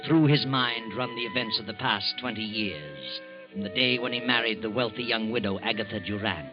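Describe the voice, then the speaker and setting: A shadowy storyteller who walks by night, voiced by a man with a very low, slow and ominous delivery. It is heard through a dull old radio broadcast recording with music playing underneath. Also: natural voice. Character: mysterious male night-walking storyteller. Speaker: narrator. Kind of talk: 1940s radio drama narration.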